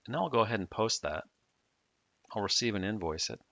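A man narrating a software tutorial, explaining each step plainly in the manner of a demonstrator. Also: fairly low pitch, animated, a little fast, creaky, no room sound.

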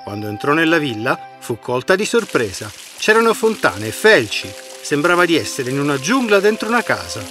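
A man with a fairly high, animated voice narrates a fairy tale. His delivery is expressive and scripted, with clear music playing behind him. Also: fairly quick, hardly any pauses. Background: background music.

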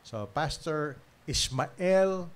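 A middle-aged man with a Filipino accent speaking informally as a pastor, pausing often and punctuating with amens. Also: on the high side, expressive. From Philippines. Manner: halting, with repeated amens. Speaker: pastor introducing guest speaker. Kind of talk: informal church address. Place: small church hall, at pulpit. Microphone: pulpit microphone.

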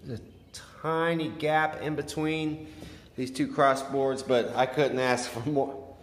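A man in his forties casually talking through a DIY job to camera, halting as he thinks aloud. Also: mid-range voice, fairly even intonation. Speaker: DIY presenter. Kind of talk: casual how-to narration to camera. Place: garage, later outside by a house. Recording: roomy echo, faint background noise.

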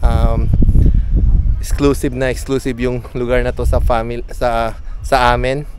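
A relaxed Filipino man in his forties chats through a travel vlog, holding the camera at arm's length on a hilltop balcony. His voice sits at an ordinary middle pitch. Outdoor ambience and a clear hum of background noise run under his voice. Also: normal speed. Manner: relaxed, chatty, addresses viewers as guys. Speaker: travel vlogger. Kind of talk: casual travel vlog, mixing Tagalog and English. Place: outdoor rooftop balcony on a hill. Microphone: handheld camera at arm's length.

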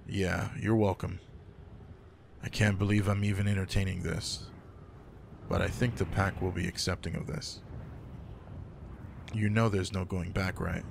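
Deep gravelly werewolf alpha, acted by a man. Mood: relenting. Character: gruff, caring adult male werewolf pack alpha. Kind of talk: intimate roleplay audio drama, addressed to one listener. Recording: noticeable background noise.

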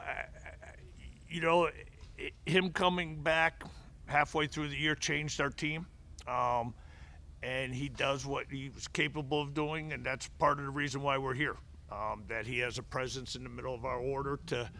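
A man's voice: medium pitch, steady tone, normal speed, bright, forceful tone. A middle-aged man, a head baseball coach, answering press conference questions at a table mic, often restarting and repeating words. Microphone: table mic in front of him.

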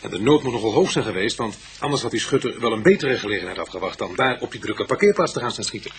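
A man playing an alert, excitable police detective in a radio play. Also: fairly low pitch, fast talker, crowd noise, natural voice. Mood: businesslike. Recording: noisy old radio play recording.

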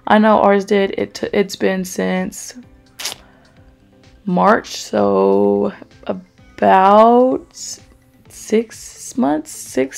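A woman's voice: mid-range voice, vocal fry, a little mellow. A young woman, chatting in a rambling voice-over for her cleaning vlog with lots of fillers. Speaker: cleaning vlogger. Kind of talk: casual voice-over chat.

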